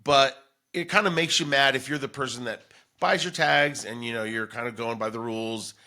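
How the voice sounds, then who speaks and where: A man's voice: mid-range voice, moderate pace, bright, forceful tone. A middle-aged American man hosting a podcast, chatting casually in a rambling, conversational storytelling way. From Denver, United States. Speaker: podcast host. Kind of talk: casual chat.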